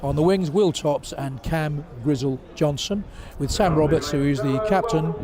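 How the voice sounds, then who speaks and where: A hoarse man who is losing his voice, chatting casually with many fillers as he introduces a rugby match from a seat in the stand. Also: fairly high pitch, normal speed. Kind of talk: solo pre-match commentary for an online stream. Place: seated in a stand at an outdoor rugby ground. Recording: crowd noise from a rugby stand. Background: crowd of spectators.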